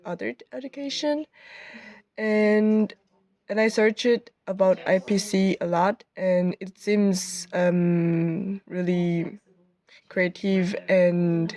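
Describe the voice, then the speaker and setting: This young woman speaks slowly and haltingly, stopping often to find her words in a learned language. Her pitch sits in the middle for a woman, and she answers like a student reflecting in an interview. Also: steady tone, a little mellow. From South Korea. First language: Korean. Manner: halting, searching for words with many fillers.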